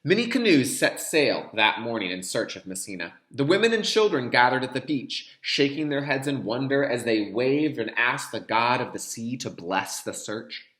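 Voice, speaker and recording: A man reads a story aloud for kindergarteners in a roomy, echoing space. His delivery is dramatic and very lively in pitch, with the clear, explanatory manner of a teacher. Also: moderate pace, few pauses. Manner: expressive, dramatic delivery, explains words to young listeners.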